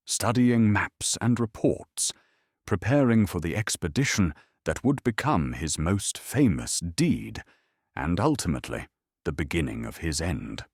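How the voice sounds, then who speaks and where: A man with a very low, husky voice narrates a calm bedtime documentary. His delivery is measured and solemn, with wide rises and falls in pitch that shape each phrase like a storyteller. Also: no echo, clean studio sound.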